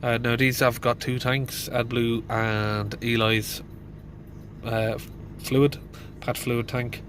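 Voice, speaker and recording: Mechanic guy sitting in a van, stuffy hay fever voice, pretty monotone, bit of hiss behind. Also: medium pitch, slow. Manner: plain, thinking aloud with fillers. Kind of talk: casual diagnostic walkthrough. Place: inside a van in a garage.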